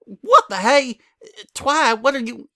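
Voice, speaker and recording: A man's voice: very high voice, animated, slow, sharp tone, no room sound, clean studio sound, pitched above the performer's own voice, exaggerated voice. Man doing a stubborn country farm pony mare. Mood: stubborn, startled. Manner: folksy, ungrammatical country talk. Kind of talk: dramatic fan fiction reading.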